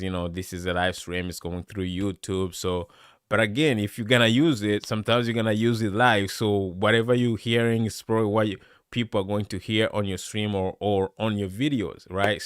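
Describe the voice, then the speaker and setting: Man, deep bassy voice, chatty live-stream reviewer, smooth close dry sound. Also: no room sound. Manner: chatty, excitable, many fillers. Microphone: studio dynamic microphone close up.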